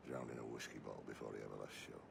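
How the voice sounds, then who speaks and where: An actor playing a fierce, foul-mouthed pirate captain who is gruff and mocking and often shouts. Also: male voice, rumbling low, steady tone, very fast, heavy background noise, exaggerated voice. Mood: grim, gruff. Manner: gruff, snarling and mocking, bursts into furious shouts. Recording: game score under the voice.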